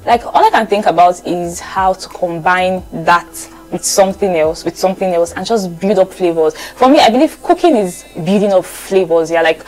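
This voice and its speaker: A young Nigerian woman talks casually to her channel's viewers in a slightly low voice. She repeats phrases for emphasis as she shares things about herself. Faint music plays along with her. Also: normal speed, few pauses, a little mellow, low-quality recording. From Lagos, Nigeria. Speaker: vlogger.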